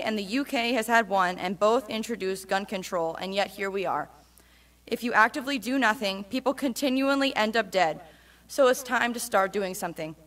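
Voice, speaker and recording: A woman's voice: fairly high pitch, a bit bright. Woman reading a speech aloud in church. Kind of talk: public reading.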